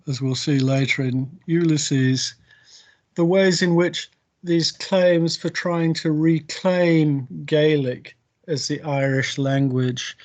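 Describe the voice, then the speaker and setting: Guy giving a literature lecture online, really slow and emphatic, medium pitched voice. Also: darkish tone. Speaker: university lecturer. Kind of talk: literature lecture with quotations read aloud. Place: online video-call lecture, indoors.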